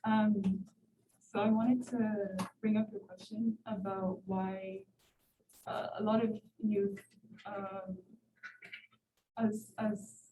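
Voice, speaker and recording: A woman's voice: medium pitch, little pitch movement, takes it slowly, long pauses, phone-quality sound, slight background noise. A woman in her twenties from Ethiopia, a student asking a hesitant question from the floor at a university event. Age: 20s. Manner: hesitant, many fillers and restarts. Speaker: student audience member. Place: university lecture room. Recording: roomy hall, far from the mic.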